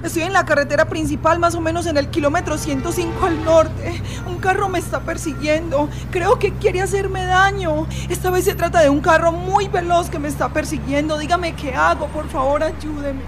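A woman's voice: very high-pitched, steady tone, normal speed, few pauses. A woman acting in a radio drama as a desperate, frightened driver being chased, with pleading, dramatic delivery. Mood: panicked pleading. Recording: music behind the voice.

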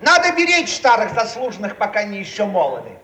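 Fussy, swooping high-voiced yes-man. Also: huge pitch swings, quick pace, sharp tone, exaggerated voice. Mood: fussy, officious. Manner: repetitive, fussy, busybody. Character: fussy, self-important male hanger-on, agreeable and meddling. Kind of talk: theatrical Soviet radio comedy acting. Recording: echoey, noisy old radio recording.

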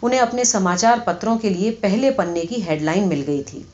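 Woman, audiobook reader, scripted story narration, medium pitch, slightly dull lo-fi sound. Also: expressive, darkish tone.

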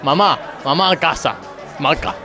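A woman's voice: deep voice, moderate pace, music in the background, crowd noise. A woman with a Rioplatense Argentine accent playing a fussing, doting, scatterbrained mother who gushes in baby talk, in a radio comedy sketch. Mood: gushing. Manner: dim, gushing, baby-talking to her child.

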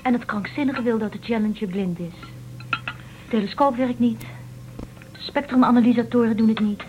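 A woman's voice: on the high side, moderate pace, natural voice. A woman playing a frustrated, technically minded spaceship crew member in a radio drama. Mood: exasperated. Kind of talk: Dutch radio drama acting. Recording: thin phone-like sound, faint noise.

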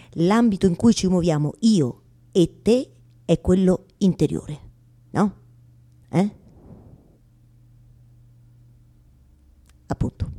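A woman's voice: mid-range voice, a little mellow, lightly acted. An actress performing a neurotic, lovelorn woman in a rambling, colloquial theatrical radio monologue addressed to God, full of repetitions and tag questions. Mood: pleading, wry. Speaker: stage actress in a solo monologue.

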